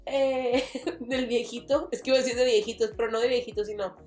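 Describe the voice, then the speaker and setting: A woman's voice: fairly high pitch, steady tone, a little fast, darkish tone, soft background music. A young woman with a Mexican accent, co-hosting a casual podcast chat, slangy and full of swearing. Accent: Mexican Spanish. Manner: slangy, swears freely, ranting and laughing. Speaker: podcast co-host.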